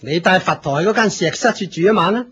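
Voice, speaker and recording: An actor playing a proud, fervent leader of a fire cult in a Hong Kong Cantonese radio drama, declaiming with pompous authority. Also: male voice, high voice, fast, bright, forceful tone, breathy edge, exaggerated voice. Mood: authoritative.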